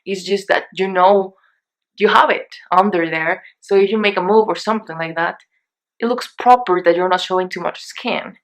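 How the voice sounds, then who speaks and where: A young Mexican woman talks through her shopping haul in English, which is not her first language, and her Mexican accent carries through. Her voice sits a little low for a woman. She rambles along casually, stopping often for fillers and restarts. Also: normal speed. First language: Spanish. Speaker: beauty vlogger.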